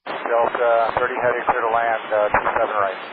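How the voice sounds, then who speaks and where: A man's voice: medium pitch, flat delivery, moderate pace. A man speaking as an airline pilot in clipped readbacks over an aviation radio. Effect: aviation radio transmission. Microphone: aircraft radio. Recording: very noisy, narrow-band cockpit radio transmission.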